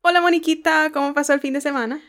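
A woman's voice: sits high, steady tone, fast, a bit bright. A woman hosting a language lesson, moving between presenting and casual chat.

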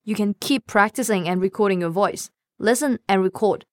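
Female co-host with a Cantonese accent, smooth resonant voice, dry studio sound, careful slow model phrases. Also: mid-range voice, no echo. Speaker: language lesson co-host. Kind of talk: scripted language lesson.

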